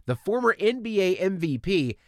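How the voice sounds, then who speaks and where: A man's voice: sits high, big pitch swings, moderate pace, dry recording, studio quality. A man hosting a podcast in a chatty, rambling, upbeat way, his voice a bit strained from allergies. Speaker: podcast host. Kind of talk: casual podcast monologue. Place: studio.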